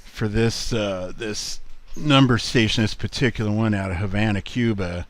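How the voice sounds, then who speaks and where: A man giving a casual screen walkthrough in a halting, unhurried way, as a video presenter. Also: on the low side, dry recording.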